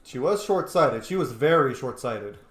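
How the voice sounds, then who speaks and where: A man's voice: medium pitch, animated, moderate pace, roomy sound. A man hosting a gaming channel, giving casual, chatty and opinionated let's-play commentary. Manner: opinionated, chatty fan enthusiasm.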